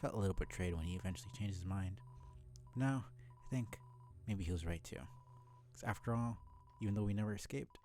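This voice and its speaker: A man's voice: fairly low pitch, fairly quick, darkish tone, lightly acted. A man voicing a weary, defiant old monster shopkeeper in a video game, with a wry, scornful manner. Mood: scornful, resigned. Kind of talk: video game character read-aloud.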